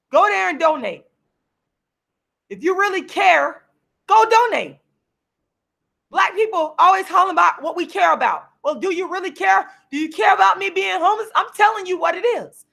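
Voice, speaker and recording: A hoarse woman in her forties rants at the camera, her high voice thick with gravel and fry. Her tone is bright and sharp, and she hammers the same points again and again, climbing into shouts. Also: animated, moderate pace, pauses often. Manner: heated, repetitive, preachy, now and then shouting. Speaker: vlogger and activist. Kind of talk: impassioned direct-to-camera rant. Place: seated at home signing books.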